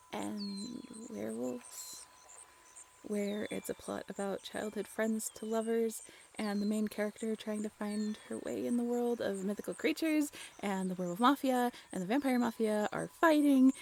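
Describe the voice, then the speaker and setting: A woman acting a bashful, affectionate young writer on a date in an intimate audio roleplay, halting and playfully teasing. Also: fairly high pitch, creaky, darkish tone, a little hiss or hum. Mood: playfully defensive.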